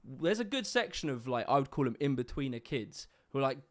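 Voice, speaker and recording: A man's voice: medium pitch, big pitch swings, brisk. A young British man with a mixed multicultural London accent, hosting a livestream interview in a casual, rambling way with lots of fillers. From Britain. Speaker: host.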